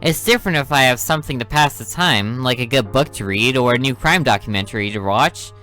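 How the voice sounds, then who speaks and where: A man's voice: medium pitch, brisk, bright, forceful tone, soft background music, natural voice. A man reading the thoughts and lines of a bored teenage girl who sneaks out at night. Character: restless, sneaky teenage girl, detective's daughter.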